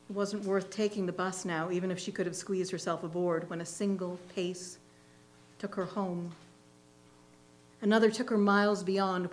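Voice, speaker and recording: A woman reading literary fiction aloud as a reader's theatre performer, in a measured, expressive storytelling manner. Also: mid-range voice. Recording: faint hum and background noise.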